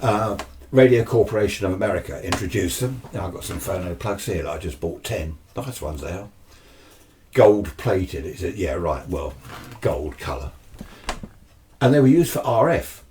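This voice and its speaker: A man's voice: deep voice, animated, moderate pace, warm tone, slight background noise. A British man giving a casual, chatty explanation, often asking himself questions and answering them. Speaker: amateur radio video presenter. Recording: slightly distant microphone.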